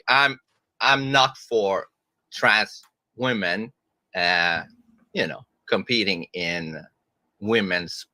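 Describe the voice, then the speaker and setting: A man's voice: lowish voice, sharp tone, no room sound. A man from Sweden giving an opening statement in a debate, hesitant and searching for words, with many fillers.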